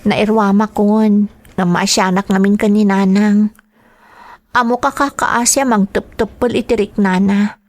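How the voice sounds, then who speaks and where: A woman's voice: medium pitch, fairly even intonation, unhurried, no echo, close to an everyday voice. A woman with an Ilocano accent playing a humble, burdened young student in a radio drama, earnest and plaintive. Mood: downcast.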